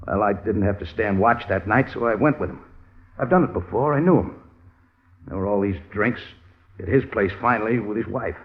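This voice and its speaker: An actor playing a bewildered, anxious American prisoner accused of murder, speaking haltingly and earnestly in an old-time radio crime drama. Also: male voice, on the low side, lightly acted. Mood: earnest, uncertain. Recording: tinny, narrow vintage radio recording.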